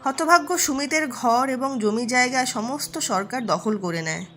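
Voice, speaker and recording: A woman's voice: high-pitched, a little slow, a bit bright. A woman giving scripted storytelling narration as the narrator of a moral tale.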